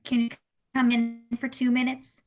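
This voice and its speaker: A woman's voice: a little high, completely flat delivery, moderate pace, dull audio, lightly acted. A woman playing a blunt, opinionated small-town veterinarian in a comedic radio play. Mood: inviting.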